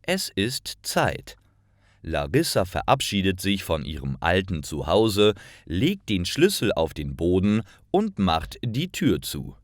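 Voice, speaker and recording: A man reading simple stories aloud in a clear, careful narration for language learners. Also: deep voice, big pitch swings, measured pace, hardly any pauses, no echo, clean studio sound. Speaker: storyteller for German learners. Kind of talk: scripted slow narration.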